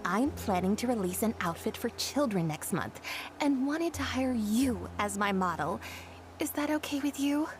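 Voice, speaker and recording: Woman playing a poised young boutique designer, highish voice. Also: lively intonation, normal speed, noisy background, close to an everyday voice. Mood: composed, friendly. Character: poised young female fashion designer and shopkeeper. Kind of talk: video game voice acting.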